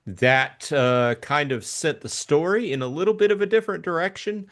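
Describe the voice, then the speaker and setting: A man co-hosting a casual book discussion, thinking aloud in a hesitant, halting way. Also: fairly high pitch, fairly bright tone.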